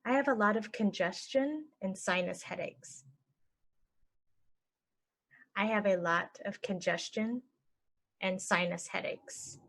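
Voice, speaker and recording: A woman teaching English phrases, saying each one and then repeating it slowly and clearly for learners. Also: medium pitch, fairly bright tone. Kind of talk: slow phrase-by-phrase language lesson.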